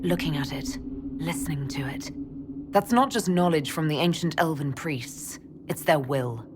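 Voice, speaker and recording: A woman voice actor playing a resolute, wary leader in a fantasy video game, speaking earnestly and deliberately. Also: low voice, background noise, natural voice. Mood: firm, concerned. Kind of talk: video game dramatic voice acting.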